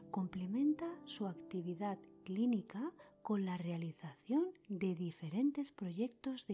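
A woman whispering a scripted guided meditation in a soft, breathy, calm voice, leaving pauses between short phrases as a podcast host. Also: medium pitch. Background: music.

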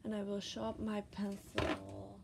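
Dutch woman, art tutor, unhurried, soft-spoken, halting word-searching delivery. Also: mid-range voice, completely flat delivery, vocal fry, very noisy. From Netherlands. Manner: hesitant, searching for words, many fillers; soft-spoken, often drops to a whisper. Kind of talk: casual drawing tutorial while working. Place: desk, indoors.

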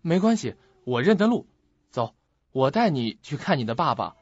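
A man's voice: a little high, very animated, normal speed, husky, heavily acted. An actor playing a troubled, protective young male student in an audio drama, on a lo-fi recording. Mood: puzzled. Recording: dull lo-fi recording with music.

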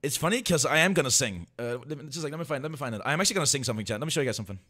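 A man's voice: medium pitch, racing. A man hosting a livestream, chatting casually and jokily and often repeating himself. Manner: excitable, jokey, repeats himself. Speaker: livestream host. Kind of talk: casual livestream chat, greeting viewers.

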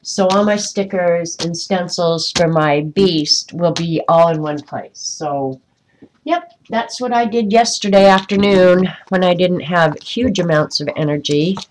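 A woman's voice: on the low side, measured pace, a little hiss or hum, low-quality recording. A woman with a stuffy, congested voice chatting casually to viewers on a live craft stream, rambling with many ums. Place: indoors at a craft desk.

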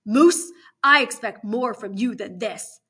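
A woman's voice: on the high side, animated, normal speed, sharp tone. A woman voicing a stern, indignant prison warden in an audiobook, scolding with emphatic authority. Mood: disappointed scolding.

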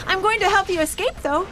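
An actress playing a gentle, earnest young heroine in a video game, sincere and conversational. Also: female voice, very high voice, normal speed, very noisy. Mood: apologetic, kind. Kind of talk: video game cutscene acting. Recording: game music behind.

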